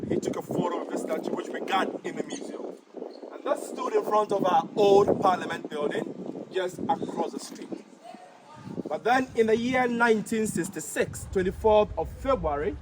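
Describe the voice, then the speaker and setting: A man from Ghana with a Ghanaian accent, explaining history as a tour guide in a measured way. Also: high-pitched. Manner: measured, explanatory, rising emphasis on key points. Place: outdoor memorial park by a city road. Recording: outdoor traffic noise.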